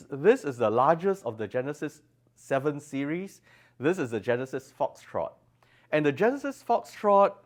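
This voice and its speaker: A man presenting products as a designer at a trade show, explaining technical details with emphasis. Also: mid-range voice. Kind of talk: product demonstration in an interview. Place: exhibition room at an audio show.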